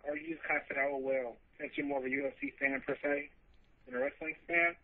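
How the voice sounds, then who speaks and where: A man's voice: on the high side, big pitch swings, fast, creaky, no echo. A man calling in to a radio show who rambles and hesitates, often repeating words as he asks his questions. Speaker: caller. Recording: tinny phone line.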